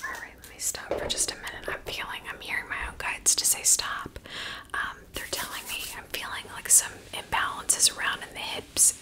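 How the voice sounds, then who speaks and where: A woman whispering slow, soft instructions as she guides a Reiki ASMR session. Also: low-quality recording. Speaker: Reiki practitioner.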